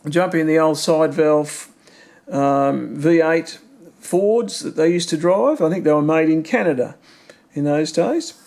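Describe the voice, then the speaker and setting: Australian man, middle-aged, family storyteller, slightly higher voice, rambling with fillers. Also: unhurried, creaky, a little mellow. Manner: rambling, asks leading questions, many fillers. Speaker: family member recording memories. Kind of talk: casual reminiscing conversation.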